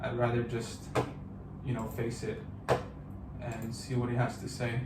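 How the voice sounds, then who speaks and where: A man in his thirties from the United States, talking through a personal vlog in a halting, reflective way with many fillers and restarts. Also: mid-range voice, monotone, heavy background noise. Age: 30s. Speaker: vlogger.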